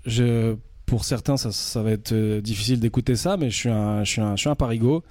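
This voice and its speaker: A man from France, probably in his thirties, speaks as a guest in a casual radio interview. His voice sits low and warm, and he often stops and restarts while searching for words. The sound is close and dry, with no room around it. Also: a little fast, no room sound. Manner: hesitant, many fillers and restarts.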